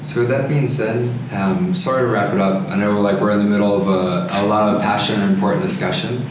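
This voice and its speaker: A man's voice: fairly low pitch, fairly even intonation, fast talker. A man facilitating a discussion, speaking casually in a polite, apologetic manner. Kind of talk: casual moderating. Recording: echoey noisy room, distant mic.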